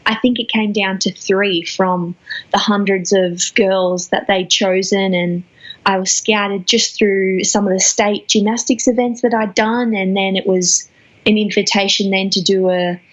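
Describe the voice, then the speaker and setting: A young woman in her twenties answering interview questions thoughtfully, often pausing and restarting phrases. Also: medium pitch, fairly even intonation, highs cut off. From Australia. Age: 20s. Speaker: guest athlete.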